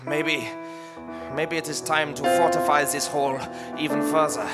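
Man as earnest worried German storybook fellow, German accent, slightly high voice, improvised comedy, loud music. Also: level tone, moderate pace, close to an everyday voice. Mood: alarmed, determined. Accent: put-on German. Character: anxious, earnest German man defending his fairy-tale hideout.

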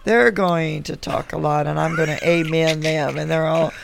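A woman's voice: deep voice, fairly even intonation, moderate pace, a little hiss or hum. A woman hosting a faith podcast with a congested voice, laughing often and turning earnest about belief. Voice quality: gravelly, congested. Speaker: podcast host. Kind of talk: casual podcast chat.

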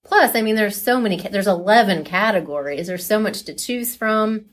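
A woman's voice: medium pitch, moderate pace. An earnest, encouraging woman answering casually in an interview, as a teacher and coach. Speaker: teacher, forensics team coach.

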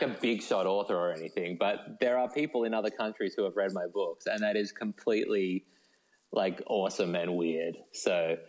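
A man's voice: on the low side, poor audio. A man speaking as a guest novelist in a casual interview, rambling and self-deprecating, with hesitant starts. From Australia. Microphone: phone call.

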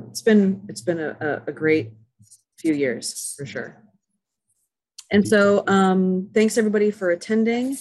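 A woman's voice: sits low, a little mellow. A woman hosting an online panel, upbeat as she wraps up with announcements. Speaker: host and moderator.

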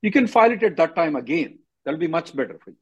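A man's voice: fairly high pitch, big pitch swings, quick pace, breathy edge. A man giving blunt, directive advice as an attorney hosting a question-and-answer call, often repeating himself. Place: conference call. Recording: dull lo-fi conference call audio.

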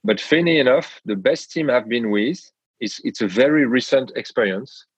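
A man with a French accent, a guest on an interview podcast, speaking in a measured way with frequent pauses and hesitations. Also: lowish voice, breathy edge, no echo, dull audio. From France. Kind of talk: reflective interview talk.

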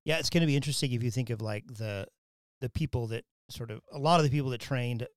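A man from Wyoming hosting an academic podcast, talking in a loose, rambling way with many restarts and quick laughs. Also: mid-range voice, dry recording. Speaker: podcast host. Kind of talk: casual academic interview.

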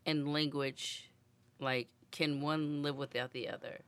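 A speaker asking halting, searching questions with restarts in a casual podcast conversation. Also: mid-range voice, level tone, a bit bright, slight background noise.

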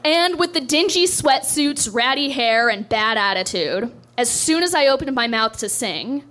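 A woman's voice: high-pitched, lively intonation, unhurried, a bit bright. A young woman doing a stand-up set, telling a story in a dry, self-deprecating way on a club stage. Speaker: stand-up comedian.

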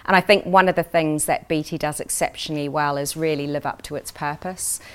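A woman's voice: low voice, steady tone, a little fast, clean tone. A middle-aged woman with a New Zealand accent, giving earnest, enthusiastic and professional answers as a guest in an interview. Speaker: interview guest, corporate executive.

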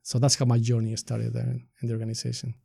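A man's voice: medium pitch, monotone, fast talker, vocal fry, warm tone, slightly rough, no room sound, clean studio sound. A middle-aged man from Ecuador, a native Spanish speaker with an Ecuadorian accent, giving earnest, explanatory interview answers with rhetorical questions. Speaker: nonprofit staff member being interviewed.

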